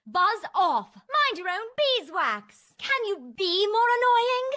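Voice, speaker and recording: Huffy game bee: high, bright, prim, with queenly airs. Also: very animated, slow pace, dry recording, heavily acted. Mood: irritated. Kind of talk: video game character voice lines.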